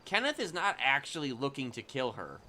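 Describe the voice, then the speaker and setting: A man's voice: medium pitch, huge pitch swings, fairly quick, bright, forceful tone, slight background noise. A man livestreaming casual commentary on a roleplay game, explaining things in a rambling, hedging way. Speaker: streamer.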